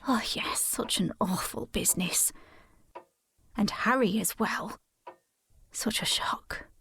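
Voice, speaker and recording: An actress playing an older, genteel housekeeper in an audio drama, breathy and husky, with a polite, deferential, gossipy manner. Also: female voice, medium pitch, takes it slowly, a bit bright, in a whisper. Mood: hushed, gossiping.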